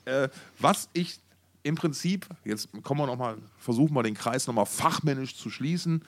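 A man's voice: medium pitch, expressive, moderate pace. A middle-aged man co-hosting a podcast, chatting casually about music in a rambling, wordy, jokey way. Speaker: podcast co-host.

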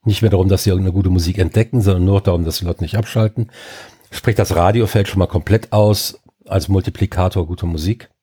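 Older guy w a stuffy cold ranting on a podcast, kinda husky and mellow. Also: low-pitched, steady tone, fast. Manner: ranting, emphatic, many fillers. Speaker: podcast co-host. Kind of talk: casual opinionated chat.

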